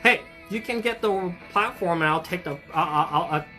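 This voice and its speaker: A young adult hosting a casual livestream for a hobby shop, with a bright, ringing tone. The talk is upbeat and friendly, full of fillers and quick restarts while greeting viewers. Soft music plays faintly in the background. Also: mid-range voice. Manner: friendly and effusive toward viewers. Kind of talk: casual livestream chat, greeting viewers and reading their comments.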